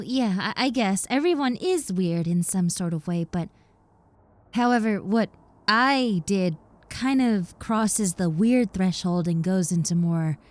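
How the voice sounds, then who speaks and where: A woman acts out a young recovering yandere in an intimate roleplay audio. Her voice is dark and relaxed at an average pitch for a woman. She rambles hesitantly, trips over her words and comes across as shy and apologetic. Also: natural voice. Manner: hesitant, stammering, self-conscious, rambling. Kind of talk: roleplay audio acting, intimate one-sided conversation.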